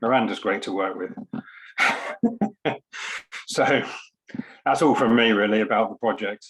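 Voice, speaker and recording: A man's voice: lowish voice, a little slow, fairly bright tone, low-quality recording. A man giving an informal talk at an online launch, speaking in a hesitant, reflective way with careful hedging. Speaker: poet and scientist speaking at a book launch. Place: online event.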